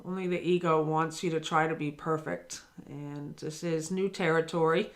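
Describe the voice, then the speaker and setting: A woman's voice: low voice, fairly even intonation, moderate pace. A woman giving a daily spiritual lesson, earnest and encouraging, speaking directly to the listener. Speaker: spiritual teacher.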